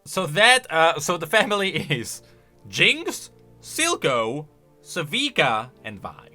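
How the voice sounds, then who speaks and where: A man's voice: fairly high pitch, huge pitch swings, slow, bright, forceful tone, soft background music. A man giving casual, enthusiastic reaction commentary, explaining game lore as he goes.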